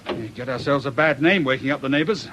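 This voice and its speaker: An actor playing a shrewd British police inspector in a radio drama, with a dry, colloquial, knowing manner. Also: male voice, fast, close to an everyday voice. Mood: assured, wry. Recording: noisy outdoor ambience, vehicle rumble.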